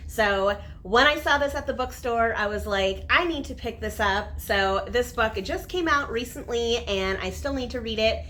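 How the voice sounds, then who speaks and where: A woman's voice: medium pitch, fairly bright tone, a little hiss or hum. A woman giving a casual, enthusiastic review to camera as a vlogger, stressing and repeating words for emphasis.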